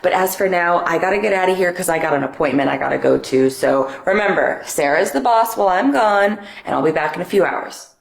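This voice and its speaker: Woman doing a dismissive lady boss, lowish voice, echoey room. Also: lively intonation, a little fast, creaky. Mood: curt. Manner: curt, condescending. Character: bossy female manager, dismissive and blunt.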